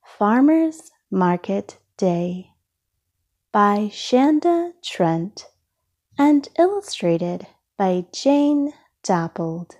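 Very slow, mellow woman reading a storybook. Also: medium pitch. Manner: expressive, deliberate phrasing for young listeners. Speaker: storybook reader. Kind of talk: children's storybook read-aloud.

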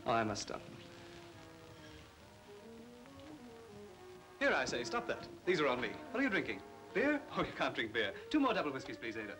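Breezy, plummy, quick-talking captain. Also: male voice, medium pitch, wildly swooping pitch, low-quality recording. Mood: hearty. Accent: upper-class English. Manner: jaunty, suave, plummy. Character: breezy, flirtatious, hard-drinking ex-army captain, charming talker. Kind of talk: 1940s British film acting. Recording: old film soundtrack, music behind.

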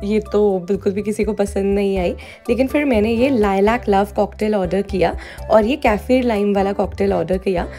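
A woman's voice: mid-range voice, moderate pace, dark tone. A woman giving a casual food review voice-over, switching between two languages. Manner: switches between Hindi and English. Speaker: vlogger reviewing a cafe. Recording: music behind the voice. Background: background music.

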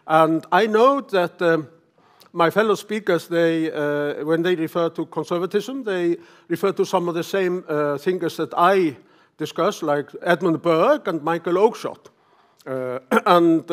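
An older man with an Icelandic accent giving a conference lecture, halting, often clearing his throat. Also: on the high side. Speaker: professor giving a talk. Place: conference hall stage, at a lectern.

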